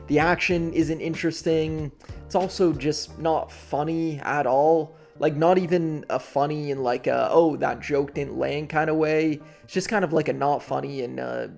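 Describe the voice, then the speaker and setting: A man hosting a video essay, giving frank, informal, halting commentary straight to the viewers. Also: on the high side, creaky, a little mellow. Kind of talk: candid casual commentary to the viewers.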